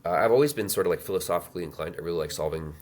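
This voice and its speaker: A man in his thirties telling his life story as an interview guest, halting with many fillers and restarts. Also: sits low, darkish tone. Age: 30s. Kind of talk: reflective personal storytelling.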